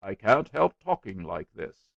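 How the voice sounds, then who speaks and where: A man's voice: medium pitch, big pitch swings, moderate pace, creaky, no room sound, lo-fi sound, voice pushed up. A man voicing a stubborn, self-important young schoolboy in a story, with a dogged, earnest, colloquial manner. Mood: affected, mysterious.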